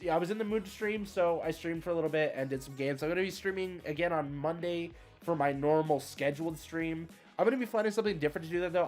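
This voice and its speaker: A man hosting a casual game livestream, thinking aloud in an easygoing, somewhat hesitant way as he plays. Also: on the high side. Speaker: livestream host and card game player. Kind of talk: casual live game stream commentary.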